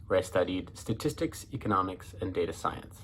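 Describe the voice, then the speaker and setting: Deep-voiced young man, direct. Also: expressive, a little fast, a bit bright, a little hiss or hum. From Ohio, US. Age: young adult. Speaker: interviewee, recent graduate.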